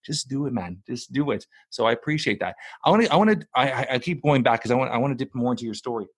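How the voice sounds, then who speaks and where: A man's voice: medium pitch, animated, fast, husky, no echo. A middle-aged man hosting a podcast, talking bluntly and emphatically and swearing casually. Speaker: podcast host. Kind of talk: casual interview talk.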